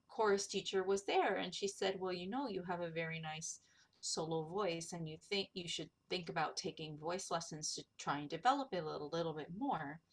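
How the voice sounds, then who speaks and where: A woman from northern New Jersey answers interview questions about her career in a relaxed, chatty way, laughing often. Her speaking voice sits low for a woman. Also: poor audio. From northern New Jersey, USA. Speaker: opera singer, interviewee.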